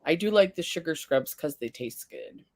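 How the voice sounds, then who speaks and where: A woman's voice: deep voice, expressive, moderate pace, darkish tone, no room sound. A woman hosting a casual livestream, chatting and reading viewer comments aloud. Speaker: livestream face paint host.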